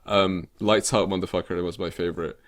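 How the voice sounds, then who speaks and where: A man's voice: sits low, steady tone, a little fast. A man co-hosting a comedic video game podcast, chatting casually in a rambling, sweary way. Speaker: podcast co-host. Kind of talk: casual comedic chat about video games.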